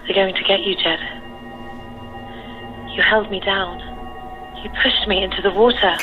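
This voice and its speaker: A woman's voice: mid-range voice, fairly even intonation, unhurried, over music. An actress playing a menacing, accusing dead young woman on the telephone in a radio drama. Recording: dull, band-limited phone line.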